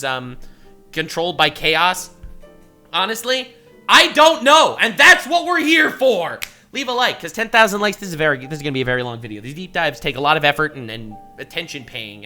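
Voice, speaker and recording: A young man reacting to a video, excitable and loud, often shouting and swearing, then dropping to a mutter. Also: sits high. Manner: animated, swings from shouting to mumbling, swears a lot.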